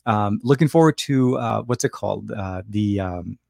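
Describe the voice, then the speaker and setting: A man from Lebanon hosting a casual livestream, chatting in a rambling, halting way with many restarts and fillers. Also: on the low side, vocal fry, darkish tone, dry recording, studio quality. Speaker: livestream host.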